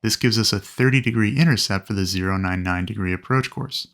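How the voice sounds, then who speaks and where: Creaky-voiced man giving methodical instruction. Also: lowish voice, brisk, a bit bright. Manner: clear, methodical explaining. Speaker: flight instructor presenting a training video. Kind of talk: instructional narration with acted radio calls.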